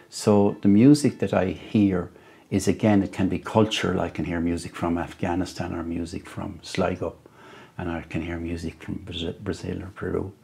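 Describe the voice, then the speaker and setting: An Irish musician and storyteller giving reflective interview answers at home, speaking slowly and thoughtfully, with frequent pauses and restarts. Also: male voice, low-pitched, gravelly, warm tone, slightly rough. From Ireland. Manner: slow, thoughtful, halting with many restarts.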